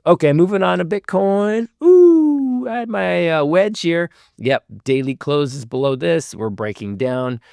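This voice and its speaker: A man with a hoarse voice from a cold, hosting a casual show where he talks through trading charts, thinking aloud in an easygoing way. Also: mid-range voice, dry recording, studio quality. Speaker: host.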